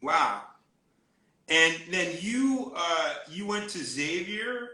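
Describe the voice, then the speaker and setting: A man's voice: sits high, big pitch swings, very slow, pushed and bright, echoey room. A man hosting a live-streamed interview, steering with short encouraging remarks and guiding questions. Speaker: interview host.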